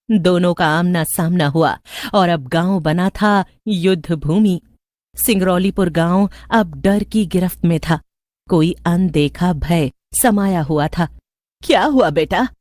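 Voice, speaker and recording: A woman's voice: on the low side, darkish tone, no room sound, studio quality. A woman narrator reading a scripted horror story.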